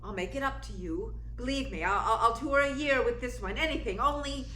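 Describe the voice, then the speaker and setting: A proud, sardonic stage diva acted by a woman in a radio play. Her voice is forceful and slightly high, with theatrical declarations. It echoes in the room over faint noise. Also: a little fast, lightly acted. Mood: earnest, emphatic. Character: proud aging stage star, witty, dramatic, newly contented. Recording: roomy echo, faint noise.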